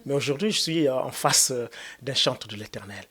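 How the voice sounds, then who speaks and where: A Congolese man hosting an interview. He asks long, polite, winding questions, pausing on fillers as he goes. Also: mid-range voice, expressive, bright, forceful tone, husky. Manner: courteous, rambling questions with many hesitations. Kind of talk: formal interview.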